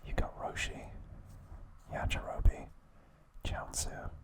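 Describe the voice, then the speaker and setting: A man speaks entirely in a soft whisper as an ASMR host. His delivery is slow and unhurried, with an informal, chatty feel as he shares trivia.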